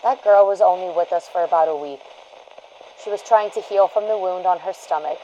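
An actress playing a sombre witness in an audio drama, recounting in a subdued way. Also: female voice, fairly low pitch, fairly quick, warm tone, a little hiss or hum, natural voice, low-quality recording. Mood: sombre, recollecting. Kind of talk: audio drama acting, recollection.

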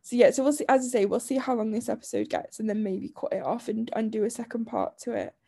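A woman co-hosting a podcast in casual chat, her voice croaky from a lingering chest illness, talking chattily with frequent laughs and restarts. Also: a little high, fast talker, dark tone. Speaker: podcast co-host.

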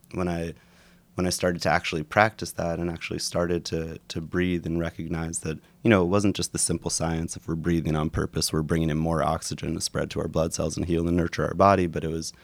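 Deep gravelly-voiced man, congested. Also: no echo. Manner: thoughtful, halting, with many restarts and fillers. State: congested and sniffling. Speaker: guest, yoga and breathwork teacher. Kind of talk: reflective conversational interview.

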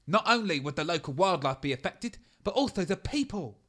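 A self-important scientist, played by a man in a comedy audio drama. He speaks in a high, bright voice and lectures everyone pompously. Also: animated, brisk. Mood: lecturing. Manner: pompous, explanatory.

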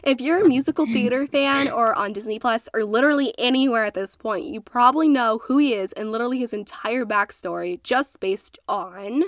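A woman's voice: on the high side, brisk, hardly any pauses. A congested woman co-hosting a casual history podcast from a closet, telling stories in a chatty, playful way with lots of fillers. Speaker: podcast co-host. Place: small closet at home. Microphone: makeshift home setup.

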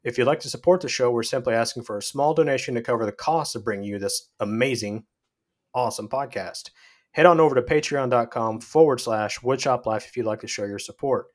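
A man hosting a woodworking podcast, reading the intro and sponsor thanks in a smooth, announcer-like way. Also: mid-range voice, fairly quick. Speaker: podcast host. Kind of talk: scripted podcast intro and chat.

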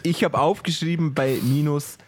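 A man's voice: fairly high pitch, steady tone, a little fast, slight background noise. A man co-hosting a podcast, easygoing, explaining beats with mouth sounds. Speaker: podcast co-host. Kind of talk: casual chat.

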